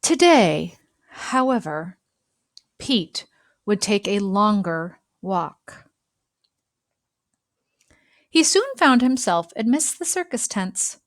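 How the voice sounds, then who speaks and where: A woman reads a children's story aloud in an expressive narrating style, phrasing deliberately and leaving dramatic pauses. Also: a little high, dry recording, clean studio sound. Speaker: storybook reader.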